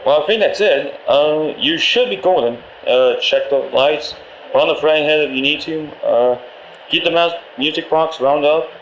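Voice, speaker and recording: A man's voice: a little high, unhurried, fairly bright tone. A man playing a friendly, nervous pizzeria employee in a game, leaving a hesitant, awkwardly upbeat training message over the phone. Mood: breezy, reassuring. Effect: telephone voicemail. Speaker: company trainer for night guards. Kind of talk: rambling recorded phone message, game voice acting. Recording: muffled, roomy phone audio with faint noise.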